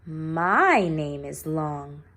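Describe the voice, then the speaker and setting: Storybook music teacher, a kind dreamy lady, slow and emphatic, proudly reassuring, played by a woman. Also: low voice, animated, fairly bright tone, full character voice. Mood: pointed. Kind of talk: children's storybook character voice.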